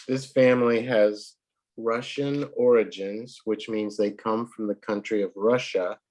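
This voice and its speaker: Slow, patient man tutor with a cold. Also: medium pitch, a little mellow. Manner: patient, clears throat often. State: hoarse from a cold. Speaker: English tutor. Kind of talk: online one-to-one lesson.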